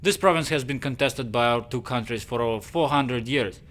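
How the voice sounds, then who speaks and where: A man's voice: mid-range voice, fairly bright tone, lightly acted. Man playing a formal Russian intelligence officer. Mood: stern. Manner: formal, measured, stiff phrasing. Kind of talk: video game cutscene acting.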